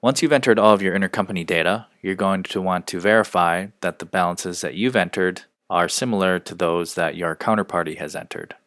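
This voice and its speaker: Low-voiced man narrating a software tutorial. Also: dry recording, clean studio sound. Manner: measured, step-by-step explaining. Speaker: software trainer. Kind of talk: instructional screencast narration.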